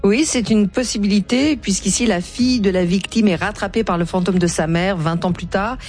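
A woman literary columnist presenting a book segment on the radio in a measured, prepared way. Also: medium pitch, a little mellow, soft background music. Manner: measured, reads prepared remarks.

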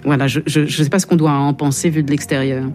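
A woman hosting a radio interview, asking long questions and often pausing to search for words. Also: sits low, flat delivery, darkish tone. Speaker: radio host.

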